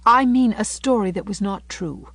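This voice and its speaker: A woman voicing a gentle young schoolteacher from a storybook, tenderly reproachful and morally earnest, with careful, correct speech. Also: mid-range voice, moderate pace, no echo, pitched above the performer's own voice, close to an everyday voice. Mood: gently correcting.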